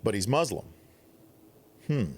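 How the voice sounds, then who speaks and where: A man from the Midwest, telling stories on a podcast in a casual, animated way, acting out quotes. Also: medium pitch, a little slow, slightly rough. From Upper Midwest. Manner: animated storyteller, acts out quotes. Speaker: podcast guest. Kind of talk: casual storytelling.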